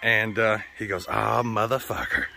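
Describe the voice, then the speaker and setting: Bright-voiced, foul-mouthed man vlogging casually. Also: lowish voice, fairly even intonation, moderate pace, creaky, slight background noise. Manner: profane, slangy, blunt. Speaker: vlogger hosting a channel. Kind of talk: casual rambling vlog talk to viewers.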